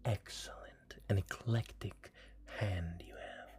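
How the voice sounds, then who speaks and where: A man with a deep, mellow voice whispers softly through a livestream. His tone is dark and relaxed, and he speaks in a gentle, comforting ASMR way. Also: slow. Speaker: livestream host.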